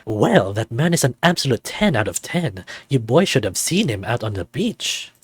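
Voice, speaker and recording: A man's voice: mid-range voice, big pitch swings, moderate pace, slightly rough, no room sound. A man voicing a flamboyant, theatrical snow leopard who runs a resort in a visual novel, speaking in a campy, dramatic manner. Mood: swooning. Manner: dramatic, lavish wording, campy.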